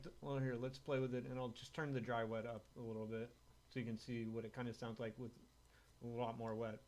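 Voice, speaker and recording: A man's voice: medium pitch, background noise. A man chatting casually and with slangy, sweary informality as he demonstrates a guitar and production setup. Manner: slangy and sweary, laid-back bro talk. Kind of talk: casual chat, informal music tech demo.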